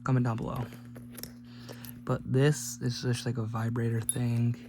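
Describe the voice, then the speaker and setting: Man with flat delivery, noisy hum behind. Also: medium pitch, unhurried. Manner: rambling, jokey offhand remarks. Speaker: host of a gadget teardown video. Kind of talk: casual hands-on teardown commentary. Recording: clearly noisy recording with hum.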